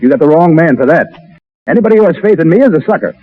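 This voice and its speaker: An actor playing a cynical, independent Foreign Legion private in a 1930s radio drama, offhand and wisecracking. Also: male voice, fairly high pitch, expressive, racing, natural voice. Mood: brash, cynical. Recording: tinny vintage 1930s radio broadcast.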